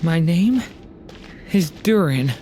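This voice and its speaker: Very slow, halting, lost young boy. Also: lowish voice, lively intonation, dark tone, a little hiss or hum. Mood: confused. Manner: halting, faint, bewildered. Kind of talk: dramatic video game voice acting.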